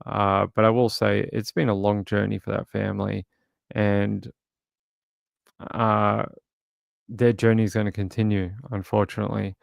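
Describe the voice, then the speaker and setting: A man talks over a true crime case to his livestream audience in a low, gravelly, crackly voice. He speaks haltingly, with plenty of "uh" and restarts. The sound is close and dry, with no room around it. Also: little pitch movement, no room sound. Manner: halting, many fillers and restarts. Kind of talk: casual livestream commentary, reading chat.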